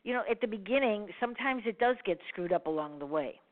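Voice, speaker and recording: A woman's voice: mid-range voice, lively intonation, quick pace. Chatty woman host on a phone line. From Chicago. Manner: rambling with many fillers, frequent laughs. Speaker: radio show host. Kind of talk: casual radio interview.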